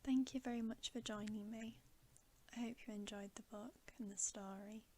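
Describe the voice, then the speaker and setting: A woman reading a bedtime story in ASMR style, soft, hushed and often whispery, in a soothing, measured manner. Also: fairly high pitch, fairly even intonation, a little hiss or hum. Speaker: ASMR storyteller, channel host.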